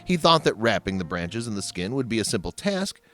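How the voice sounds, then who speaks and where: A man's voice: on the low side, sing-song, fairly quick, bright, forceful tone. A man reading scripted voice-over narration in the manner of a how-to video. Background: background music.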